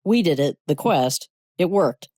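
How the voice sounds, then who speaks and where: A sweet, sentimental woman shopper, voiced by a story narrator. The dialogue is soft-toned and mellow, recorded dry for an audio story. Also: medium pitch, lively intonation, a little slow, dry recording, pitched above the performer's own voice. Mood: tender. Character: sweet, sentimental female customer. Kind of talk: scripted short-story dialogue.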